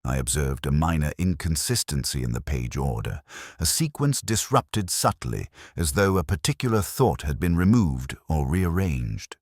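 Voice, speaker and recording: A man narrating a detective story in the first person, in a measured, formal and reflective way. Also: a bass voice, very animated, dry recording, clean studio sound.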